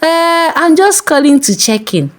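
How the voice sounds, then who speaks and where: A woman's voice: high voice, very animated, a little slow, voice pushed up. A woman voicing a fatherly older male pastor in a story, with a Nigerian accent and a coaxing, over-familiar manner. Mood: cheerful, coaxing. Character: Pastor Tochi, smooth fatherly older male pastor, quietly predatory. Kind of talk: acted dialogue in a narrated folk tale.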